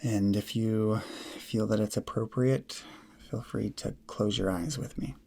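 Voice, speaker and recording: Slow, low, husky man guiding meditation. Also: steady tone, dark tone. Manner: measured, halting delivery in short phrases with long pauses. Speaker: meditation guide. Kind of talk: guided meditation, slow instruction.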